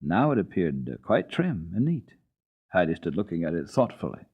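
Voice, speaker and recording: A male storyteller with a low, husky voice reads a children's book dramatically. His delivery is folksy and lively in pitch. It sounds like a muffled archival radio program. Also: moderate pace.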